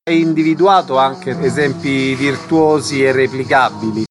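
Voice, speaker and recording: A man's voice: mid-range voice, measured pace, music in the background. A man hosting a cultural radio programme, putting questions and introducing segments. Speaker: radio host. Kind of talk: radio interview and cultural agenda presenting.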